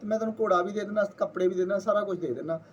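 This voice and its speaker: A man's voice: sits high, racing, highs cut off, slight background noise, natural voice. A man with a Punjabi accent voicing a sly, artful trickster who feigns innocence, in an informally told folktale. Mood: bargaining. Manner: colloquial, bargaining, artful.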